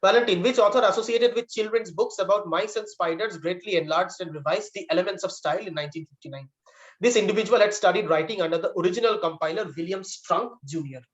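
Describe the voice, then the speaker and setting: A man's voice: high-pitched, fast talker, lo-fi sound. A man acting as quiz master, reading out trivia questions and giving verdicts on answers.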